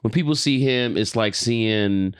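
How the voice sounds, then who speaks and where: A man's voice: medium pitch, unhurried, smooth. A man co-hosting a podcast, chatting casually and giving his opinions in slangy talk peppered with swearing. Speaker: podcast co-host.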